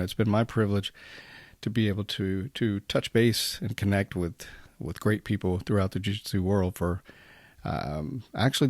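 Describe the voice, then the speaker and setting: A man's voice: on the low side, vocal fry, dry recording. A man hosting a podcast, reading a scripted intro and then chatting with his guest in a friendly way with fillers. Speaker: podcast host.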